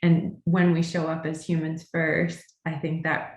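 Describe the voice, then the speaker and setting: A woman's voice: sits low, completely flat delivery, a little slow, echoey room. A woman therapist speaking earnestly and reflectively on a panel, second-generation American with English as her first language. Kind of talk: panel discussion.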